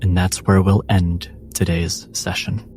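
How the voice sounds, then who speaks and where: A man narrating as game master in an atmospheric, measured style with dramatic pauses. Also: deep voice, flat delivery, a little mellow, soft background music. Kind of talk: slow atmospheric scripted-sounding narration.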